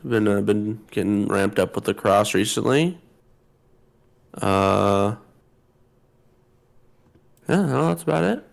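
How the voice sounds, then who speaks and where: A man co-hosting a podcast in casual chat, his voice slightly hoarse from a sore throat. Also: lowish voice, slow pace, pauses often. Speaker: podcast co-host.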